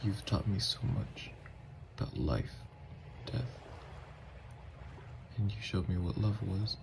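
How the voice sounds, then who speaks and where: A man playing a tormented, lovestruck young vampire in an intimate ASMR roleplay, speaking in a slow, halting, hushed murmur. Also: sits low, animated, gravelly, noisy background, exaggerated voice. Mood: tender, wistful. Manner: slow, halting, hushed confession with long pauses. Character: tormented, lovestruck newly turned male vampire, confessing tenderly. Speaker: ASMR voice actor.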